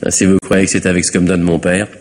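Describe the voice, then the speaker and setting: Man playing low-voiced, insolent young charmer. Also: completely flat delivery, rapid-fire, darkish tone, lightly acted. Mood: cheeky, nonchalant. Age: young adult. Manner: sardonic, nonchalant. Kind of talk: French radio mystery play acting.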